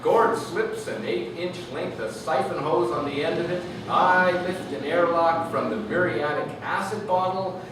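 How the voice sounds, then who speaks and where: A man giving an animated, lyrical literary reading to an audience, phrasing deliberately. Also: sits high. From Canada. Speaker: author reading own work. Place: live reading event in a room. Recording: echoey noisy room, distant mic.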